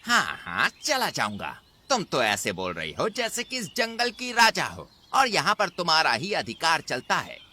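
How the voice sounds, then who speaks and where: A man's voice: fairly high pitch, huge pitch swings, normal speed, few pauses, pushed and bright, heavily acted. A man voicing a shifty, insolent wolf in a children's cartoon, curt and defiant. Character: shifty, insolent male wolf from another forest. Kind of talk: Hindi children's cartoon dubbing.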